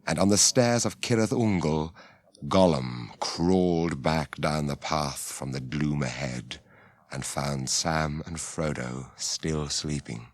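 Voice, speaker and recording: A man reading scripted narration for a 1980s radio drama in a measured storytelling manner. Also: extremely low pitch, dry recording.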